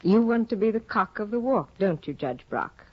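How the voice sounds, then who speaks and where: A woman's voice: mid-range voice, expressive, normal speed, no room sound, natural voice. A woman playing a cold, cutting aristocratic wife. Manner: cool, clipped, disdainful. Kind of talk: 1950s radio drama acting. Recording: dull, dry 1950 radio broadcast.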